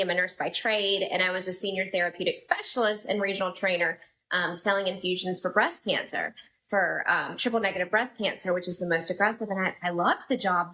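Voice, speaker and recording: A woman's voice: medium pitch, bright, forceful tone, poor audio. A woman with a Southern American accent hosting a live interview, upbeat and bubbly, speaking straight to her audience over a video call. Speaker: podcast host.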